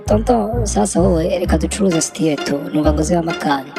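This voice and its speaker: A woman's voice: on the low side, fast talker, close to an everyday voice. A woman with a Rwandan accent voicing a sly, evasive trickster hare who stammers excuses in a cartoon folktale. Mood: scheming. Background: music.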